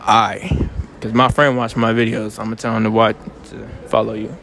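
A man's voice: lowish voice, little pitch movement, normal speed, creaky, a bit bright. A young man hosting casual street interviews outdoors, joking and teasing in slangy talk.

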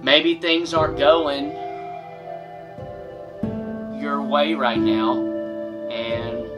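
A man's voice: mid-range voice, far from the mic. A middle-aged man, telling a personal story to the camera in an earnest, dramatic way from a small wood-paneled room. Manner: earnest, dramatic, expressive with big gestures. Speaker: storyteller host. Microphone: camera from a few feet away.

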